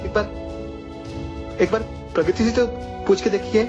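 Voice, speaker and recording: A man's voice: high voice, fairly even intonation, normal speed, dull audio, over music, natural voice. Man playing a heartbroken blind young man. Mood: pleading. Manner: pleading, then bitter and accusing.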